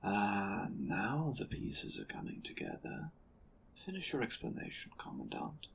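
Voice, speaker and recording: An actor playing an amused, condescending superior of a sci-fi order in an audio roleplay, speaking in a measured, theatrical, wry manner. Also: low-pitched, huge pitch swings, creaky, a bit bright, slight background noise, full character voice. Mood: knowing, explanatory. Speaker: guest voice actor.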